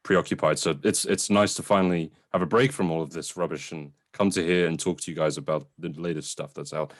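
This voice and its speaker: Fast-talking young man with a deep voice. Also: steady tone, dry recording, clean studio sound. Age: young adult. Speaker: podcast host. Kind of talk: casual review chat.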